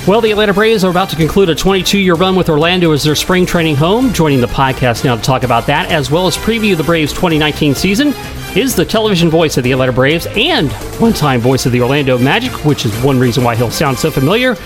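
Slightly raspy man hosting sports talk. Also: a little high, expressive, music in the background. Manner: chatty, many filler pauses. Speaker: sports podcast host.

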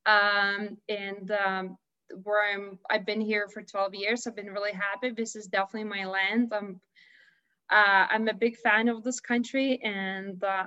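A woman's voice: mid-range voice, sharp tone. A woman from Lithuania with a Lithuanian accent, a guest on a remote interview who speaks in an upbeat, earnest way, often restarting her sentences. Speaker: guest, holistic health consultant. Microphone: video call. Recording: dull lo-fi video call audio.

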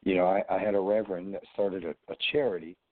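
A man with a sore, froggy throat calls in on a thin phone line, his voice sitting fairly low. He rambles, restarting his sentences and leaning on fillers as he makes his point. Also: fairly even intonation, fast talker. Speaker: caller, fellow show host.

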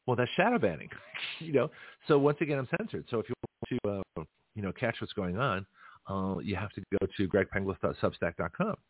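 A man's voice: fairly low pitch, animated, a little fast, husky, dry recording. A middle-aged man hosting a talk radio show, rambling in a casual monologue with frequent restarts and chuckles. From Canada. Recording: tinny phone-line audio.

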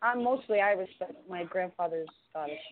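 A woman's voice: fairly low pitch, brisk, a little hiss or hum. A woman from Canada co-hosting a radio show, bantering casually in a playful, feisty way. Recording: narrow phone-like line.

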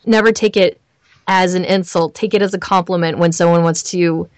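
A woman with a Southern California accent talking candidly in an interview as a voice actor, emphatic and expressive in how she tells things. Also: fairly low pitch, normal speed, no echo. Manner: animated storyteller, emphatic repetition, acts out imagined lines. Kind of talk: candid, chatty interview talk.

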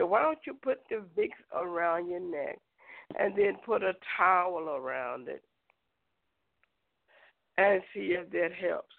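A middle-aged woman hosting a prayer show, hoarse from a cold, chatting with listeners in a folksy, direct way. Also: on the low side. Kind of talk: casual prayer show chat. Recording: tinny phone line, dry.

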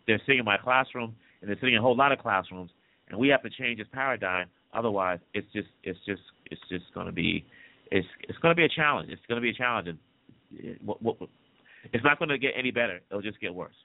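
A low-voiced man hosts a casual news commentary, talking fast and repeating words as he gathers his thoughts. He comes through a dry, tinny, phone-like line. He is from the Congo. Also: lively intonation, creaky, no echo. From Democratic Republic of the Congo. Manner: fast, conversational, repeats himself while thinking. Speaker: podcast news host.

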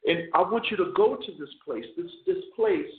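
Man, hoarse preacher, high voice, halting restarts, tinny phone line, echoey. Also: expressive. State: hoarse, losing his voice. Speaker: chaplain and radio show host. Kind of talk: radio sermon.

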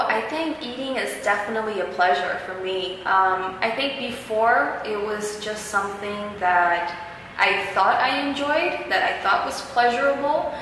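A young woman, born in Peru and raised in the United States, answering interview questions about healthy eating in a relaxed, fluent, explanatory way. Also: medium pitch. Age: young adult. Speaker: guest, video blogger. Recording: very echoey hall, faint noise.

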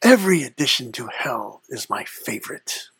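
A lord of darkness as a performer plays it with a heavily rasping, breathy voice in a dry, close recording. The Devil speaks in terse, formal pronouncements, vain and haughty, as a guest in a comic interview. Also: medium pitch, big pitch swings, fairly bright tone, no echo, full character voice. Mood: cool, dismissive. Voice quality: husky, breathy rasp. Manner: terse, formal, slow and deliberate. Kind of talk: in-character mock interview.

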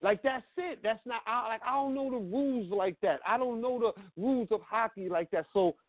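Frank, high-voiced man on a phone line. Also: moderate pace, no echo. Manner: frank, repetitive, many fillers. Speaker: guest, media creator. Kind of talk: casual interview talk. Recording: dry, phone-like call audio.

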